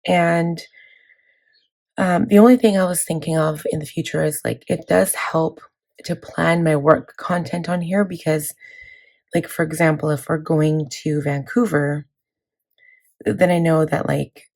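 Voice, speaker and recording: Lady with a sore hoarse throat, low voice, rambly casual chatter, lots of ums. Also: steady tone, unhurried, darkish tone. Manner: chatty, rambling, many fillers. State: hoarse from laryngitis. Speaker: planning vlogger. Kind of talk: casual chat walking through a planner.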